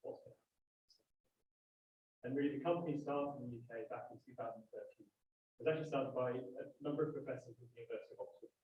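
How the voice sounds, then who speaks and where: Fast-talking British man in echoey room. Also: medium pitch. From United Kingdom. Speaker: company representative on a panel. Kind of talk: explanatory company introduction. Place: large room at live event. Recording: echoey room, distant, dull, faint hiss.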